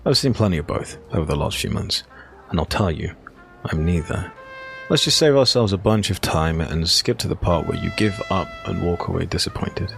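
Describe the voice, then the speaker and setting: A man's voice: low-pitched, normal speed, fairly bright tone, faint music behind. A man playing a dry, annoyed, world-weary drinker who grumbles curtly in an audio drama. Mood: annoyed, dismissive. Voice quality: dry, gravelly. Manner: grumbling, curt.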